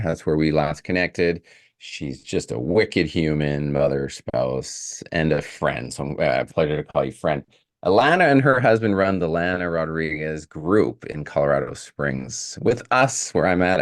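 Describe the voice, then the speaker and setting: A man from Canada hosting a podcast, upbeat and effusive in casual interview chat. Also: deep voice. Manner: upbeat, effusive, laughs easily. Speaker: podcast host.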